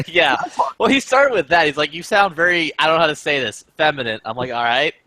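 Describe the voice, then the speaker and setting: A man's voice: fairly high pitch, expressive, fairly quick, sharp tone, no room sound, poor audio. A man in casual group chat, telling stories and laughing often.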